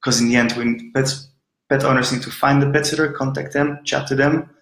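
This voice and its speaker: Belgian guy founder chatting away in an interview, bright forceful voice, echoey room. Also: mid-range voice, fairly even intonation, brisk, vocal fry. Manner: chatty, peppers talk with "look" and "right?". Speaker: startup founder and CEO. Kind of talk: casual interview storytelling.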